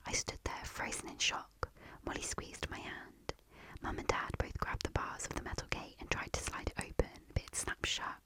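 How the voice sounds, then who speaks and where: A woman reading a storybook aloud in a soft, hushed storytelling manner. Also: moderate pace, whispered, poor audio. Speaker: narrator.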